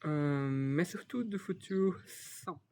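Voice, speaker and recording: Brazilian male tutor, young adult, Brazilian Portuguese accent, slightly high voice, slow and hesitant delivery. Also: mellow, a little hiss or hum. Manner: hesitant, many fillers and restarts. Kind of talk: unscripted language lesson.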